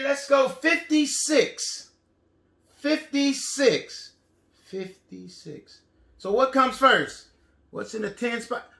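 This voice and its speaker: Male elementary teacher, very high forceful voice, animated and encouraging, recorded in an echoing room. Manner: animated, encouraging call-and-count delivery with short repeated phrases. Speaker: elementary teacher and lesson host. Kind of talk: children's counting lesson. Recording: roomy, echoing indoor sound.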